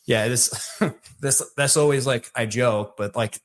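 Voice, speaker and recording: A man's voice: mid-range voice, measured pace. A middle-aged man from Michigan with a Michigan accent, a sales leader talking casually as an interview guest, rambling with many fillers and restarts.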